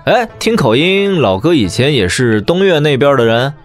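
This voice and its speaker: A man playing a young, easygoing wandering gentleman swordsman in an audio drama. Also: on the high side, sing-song, moderate pace, faint music behind. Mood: affable. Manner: casual, friendly.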